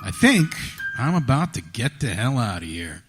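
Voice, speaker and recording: An actor playing a sadistic, mocking killer with a theatrical, taunting manner in a live horror audio drama. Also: male voice, medium pitch, very animated, moderate pace, faint music behind, natural voice. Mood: excited, impatient. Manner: taunting, theatrical, relishing cruelty. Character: gloating sadistic male killer, a mocking trapped spirit. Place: theatre stage, live performance. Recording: noisy live theatre stage recording.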